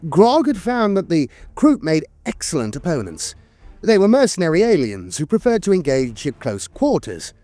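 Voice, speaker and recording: A man's voice: fairly high pitch, darkish tone, dry recording. A man reading scripted war lore as a narrator, with a theatrical storyteller's delivery. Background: music.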